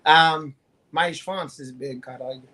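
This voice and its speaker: A man's voice: a little high, a little slow, bright, forceful tone, low-quality recording. Brazilian-accented male co-host, brash and profane, comic bravado. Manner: boastful, swearing, joking.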